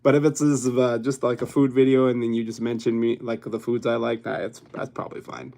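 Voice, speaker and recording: A man's voice: medium pitch, little pitch movement, brisk, gravelly, warm tone. A young man from Hawaii hosting a casual livestream, chatting with his viewers in a rambling way and laughing often. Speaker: YouTube livestream host.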